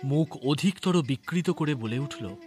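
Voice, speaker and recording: A man narrating a literary story in a measured, expressive, dramatic way. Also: a little high. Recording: music bed under narration. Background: background music.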